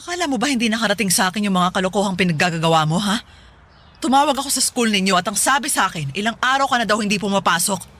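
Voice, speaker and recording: A woman's voice: mid-range voice, lively intonation, fast talker, a bit bright. A woman playing a worried, stern but loving stepmother in a radio drama, scolding and pleading. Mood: suspicious, stern. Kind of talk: Tagalog radio drama acting. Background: background music.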